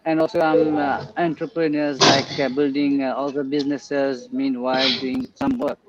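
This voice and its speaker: A man's voice: medium pitch, level tone, moderate pace. A man from Mauritius, an entrepreneur and guest, introducing himself hesitantly on a video call. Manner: hesitant, filler-heavy. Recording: distant lo-fi call with loud crowd noise.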